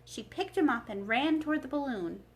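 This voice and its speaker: A woman reading a children's storybook aloud as a librarian, in an expressive narrating style. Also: high-pitched, moderate pace.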